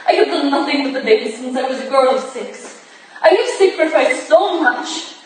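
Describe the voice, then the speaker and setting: Woman playing a high-voiced, anguished ageing ballerina, echoey. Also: expressive, moderate pace. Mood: anguished, pleading. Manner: impassioned, theatrical, anguished. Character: desperate proud ageing Russian ballerina, forty-three, pleading. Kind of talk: staged reading of a period drama. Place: staged reading in a room, recorded from afar. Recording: distant echoey room, faint noise.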